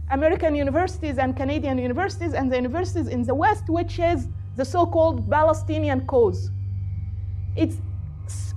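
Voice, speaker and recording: Female speaker, Egyptian accent, native Arabic, high register, earnest activist addressing a forum in measured phrases, light music underneath. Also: a little mellow. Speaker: political activist and guest speaker. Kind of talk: public talk on politics, speaking off the cuff.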